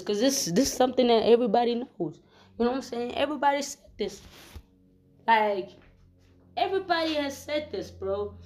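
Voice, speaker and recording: A woman with a flu-roughened voice, talking casually to her viewers in a rambling vlog, full of slang and repeated fillers. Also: high voice. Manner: slangy, repeats fillers like "you know what I'm saying". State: hoarse from flu. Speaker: vlogger.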